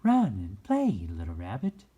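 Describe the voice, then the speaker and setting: A storybook reader voicing a kindly, gentle nursery magic fairy in a high, breathy voice with slow, drawn-out, emphatic words. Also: wildly swooping pitch, exaggerated voice.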